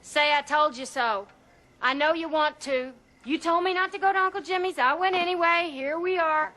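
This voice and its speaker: A woman's voice: high-pitched, normal speed, bright, forceful tone. An actress playing a defiant Southern mother in a film drama, outspoken and sardonic, with a Southern US accent. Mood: furious. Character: fiery, defiant Southern mother with biting sarcasm.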